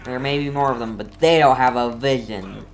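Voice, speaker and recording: A streamer giving casual gameplay commentary in a hesitant, low-key way, talking back to the game's characters. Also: moderate pace, faint music behind. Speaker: game streamer.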